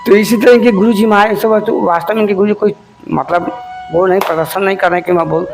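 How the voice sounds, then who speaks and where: A man's voice: high-pitched, steady tone, fast, vocal fry, over music. A middle-aged man who explains devotional songs in an informal, rambling talk, often repeating himself and turning to his listeners as friends.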